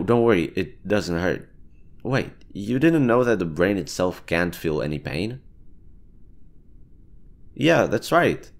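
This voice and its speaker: A man voicing a chatty brain-eating amoeba who bargains persuasively and explains things casually in a one-sided audio roleplay. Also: deep voice. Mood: casual, friendly.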